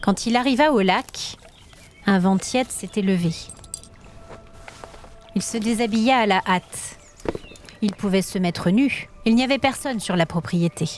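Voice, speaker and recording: A woman tells a scripted fantasy tale as a storyteller. Her voice has a medium pitch, and the narration is dramatic, with light music underneath. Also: measured pace, pauses often.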